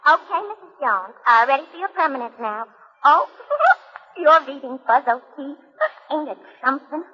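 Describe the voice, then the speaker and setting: An actress playing a chatty, excitable hairdresser in 1940s radio drama style. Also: female voice, sits high, normal speed, natural voice. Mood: gossipy, excited. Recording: muffled old radio recording with music.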